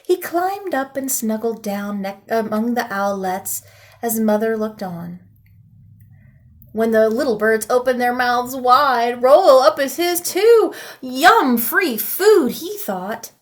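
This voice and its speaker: Slow, gentle woman reading a storybook. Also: a little high, lively intonation. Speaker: teacher. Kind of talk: children's picture book read-aloud.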